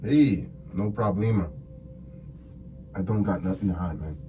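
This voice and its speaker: A man puts on a laid-back, evasive male suspect for an ASMR crime roleplay. He drawls slowly in a mellow tone, slangy and defensive, with music behind him. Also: low-pitched, pitched below the performer's own voice. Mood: flippant, amused.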